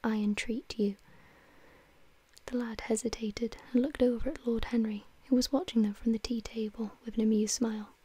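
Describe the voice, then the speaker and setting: Female narrator, soft whispered literary reading, even and mellow. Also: a little high, fairly quick, hardly any pauses. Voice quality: breathy unvoiced whisper. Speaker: audiobook narrator.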